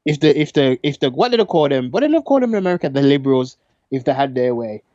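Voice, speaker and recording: A man with a London accent arguing in casual podcast debate, using heavy slang in an animated manner. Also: mid-range voice, quick pace, no room sound, lo-fi sound. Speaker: podcast co-host.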